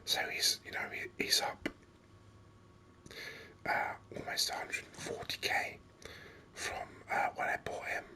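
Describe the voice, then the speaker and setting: Whispered ASMR gaming host: very bright, sibilant breathy whisper, slow and rambling but keen. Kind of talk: whispered ASMR game commentary.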